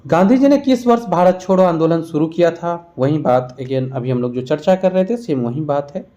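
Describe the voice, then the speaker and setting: A man's voice: a little high, animated, normal speed, dark tone. A man with an Indian accent teaching an exam revision lesson, posing questions and then answering them in an instructional way. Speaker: history teacher.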